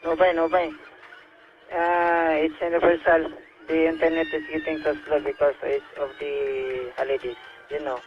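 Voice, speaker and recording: Male radio operator, Filipino accent, fairly high voice, casual on-air chat, muffled audio. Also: flat delivery, normal speed, slight background noise. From Philippines.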